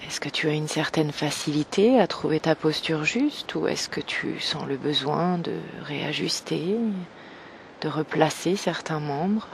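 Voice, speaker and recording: A woman guiding an evening meditation slowly and gently, in a soft, breathy voice that nears a whisper, with long pauses. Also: deep voice, slight background noise. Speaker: meditation guide. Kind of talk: guided evening meditation, yoga nidra.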